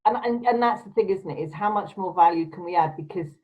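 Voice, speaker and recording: A woman's voice: fairly low pitch, fast talker, warm tone. Brief: chatty, laughing woman host, muffled lo-fi call audio. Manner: chatty, laughs often, emphatic. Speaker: podcast host. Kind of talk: casual video-call chat. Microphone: video call.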